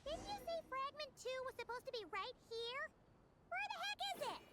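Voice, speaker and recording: A woman voicing a tiny floating fairy companion girl, bossy, excitable and scolding in a game. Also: normal speed, exaggerated voice. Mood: impatient. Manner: scolding, shrill and impatient. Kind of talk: video game voice acting.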